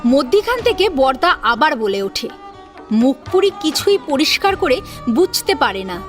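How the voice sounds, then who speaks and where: A woman's voice: sits high, big pitch swings, a little slow, faint music behind. A woman narrating a scripted story in a dramatic storytelling style.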